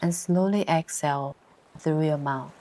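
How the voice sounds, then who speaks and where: Slow, low-voiced woman guiding meditation. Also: a little hiss or hum, rough recording. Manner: soothing, gentle, unhurried instruction with long pauses. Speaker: meditation guide. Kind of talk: guided meditation narration. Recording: ocean surf sounds behind the voice. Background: ocean waves ambience.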